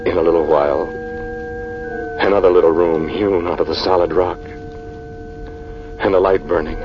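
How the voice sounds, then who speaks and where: A very deep voice plays a haunted scientist, brooding and dramatic. The delivery halts like a man reliving terror in a 1940s radio horror play. The old recording is dull, with music clearly behind him. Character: haunted male scientist recounting a terrifying tomb ordeal.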